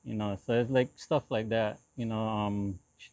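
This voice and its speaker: A man in his forties from Hawaii, speaking casually as an interview guest in a halting way, often repeating short phrases. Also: lowish voice, steady tone, darkish tone, dry recording. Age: 40s. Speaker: interview guest, lowrider builder.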